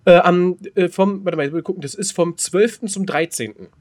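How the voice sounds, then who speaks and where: A man's voice: high voice, fairly quick, darkish tone. A man with a German accent chatting casually and playfully as a podcast co-host, often interjecting. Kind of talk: casual joking banter.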